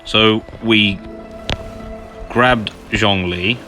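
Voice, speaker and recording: A man hosts a game playthrough in a very low voice. His commentary is casual and off the cuff. Game music plays noticeably behind him. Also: extremely slow, bright, forceful tone. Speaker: gaming video host.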